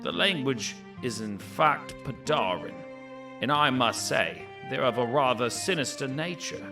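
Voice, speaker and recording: A man's voice: mid-range voice, animated, a little slow. An actor playing a scholar voicing his own warning letter in an audio drama, formal and ominous. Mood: grave. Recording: distant voice under clear music.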